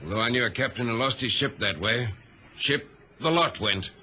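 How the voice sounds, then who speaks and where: An actor playing a stern, resolute ship's captain in a radio drama, clipped and commanding, on a noisy vintage recording. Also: male voice, mid-range voice, normal speed, bright, forceful tone. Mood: grim resolve.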